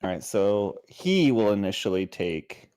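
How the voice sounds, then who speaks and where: A man's voice: fairly low pitch, big pitch swings, unhurried, darkish tone, clean tone, dry recording. A man chatting casually as a player at a tabletop role-playing session. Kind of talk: casual game-table chat.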